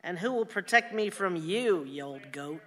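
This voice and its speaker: A woman playing a feisty, sharp-tongued older wife in a radio play, blunt and exasperated as she scolds. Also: on the low side, animated, normal speed. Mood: irritated. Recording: long reverberant hall sound.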